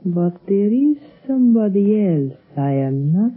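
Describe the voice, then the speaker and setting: A husky, slow-spoken femme fatale, low for a woman, formal and veiled in menace. It is a muffled old radio drama recording. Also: sing-song. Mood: cool, scheming. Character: sly, exotic Indian female dancer and smuggler, veiled menace. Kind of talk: 1930s radio drama acting. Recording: muffled 1930s radio recording, faint music.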